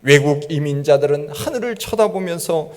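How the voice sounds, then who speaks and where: A man's voice: a little high, lively intonation, moderate pace. A middle-aged male pastor from Gangwon Province preaching a sermon in a folksy storytelling way, imitating regional dialect phrases. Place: church sanctuary. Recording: long church hall reverb.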